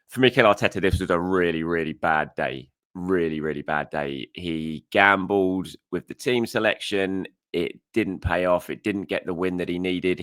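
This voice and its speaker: A fast-talking man with a low, croaky voice gives frank solo commentary on a football result. He speaks in a chatty, conversational way and doubles up words for emphasis. The sound is close and dry. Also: fairly bright tone, no echo. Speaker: football show host.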